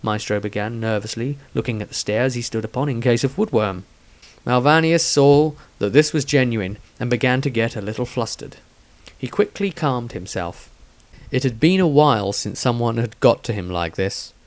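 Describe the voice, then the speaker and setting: A man's voice: mid-range voice, animated, normal speed. A man reading scripted narration of a fantasy story as its narrator. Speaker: author narrator.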